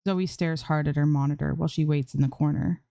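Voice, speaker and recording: A woman's voice: sits low, fairly even intonation, fast talker, vocal fry, dark tone, dry recording, clean studio sound. A woman reading fan fiction aloud as an amateur narrator, in scripted storytelling style.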